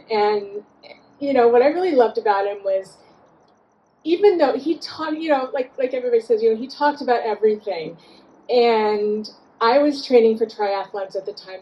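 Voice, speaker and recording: A woman with a mid-pitched voice offers an informal tribute to a friend. Her delivery is conversational and loosely phrased. The audio sounds dull and lo-fi. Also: slight background noise. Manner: conversational, frequent "you know". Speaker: memorial speaker, friend of the deceased. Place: outdoor garden gathering.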